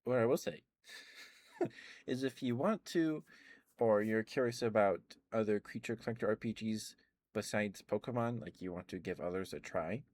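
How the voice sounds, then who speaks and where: Deep-voiced guy doing an asmr ramble, lots of ums, dry close mic. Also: a little mellow, no echo. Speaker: ASMR streamer. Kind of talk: soft-spoken ASMR game rambling.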